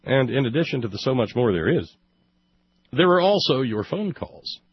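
Male talk radio host, raspy and sardonic, halting and emphatic solo commentary, dull lo-fi broadcast sound. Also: mid-range voice, sing-song, dry recording. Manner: sardonic, mock-exasperated. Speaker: radio call-in show host.

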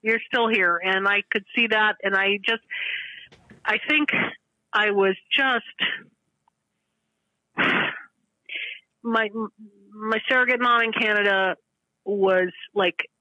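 A woman of fifty-five calling in to a show, speaking earnestly and candidly in halting, restarted sentences. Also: medium pitch, steady tone, sharp tone, dry recording, rough recording. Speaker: regular caller to a show. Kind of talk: candid emotional conversation.

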